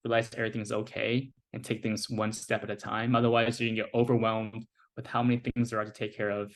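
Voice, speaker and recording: A young American man in his twenties, a guest on an interview podcast, talking in a relaxed, casual way with lots of "like" and "you know". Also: lowish voice, fast. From United States. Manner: relaxed, filler-heavy speech with many "like" and "you know".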